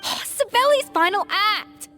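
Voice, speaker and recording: A woman's voice: very high-pitched, animated, unhurried, bright, forceful tone, exaggerated voice. A woman voicing an earnest, articulate young investigator in a video game, with dramatic delivery. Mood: urgent.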